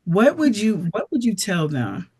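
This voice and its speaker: A woman's voice: medium pitch, huge pitch swings, a little slow, darkish tone, slightly rough. A woman hosting an interview, enthusiastic and affirming, with many encouraging interjections. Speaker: interview host. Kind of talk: casual interview conversation.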